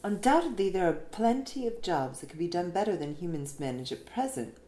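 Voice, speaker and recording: A woman reads a written essay aloud in an expressive, emphatic way with deliberate phrasing. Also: on the low side. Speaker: reader of an essay. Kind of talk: scripted narration, essay read aloud. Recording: roomy echo, faint background noise.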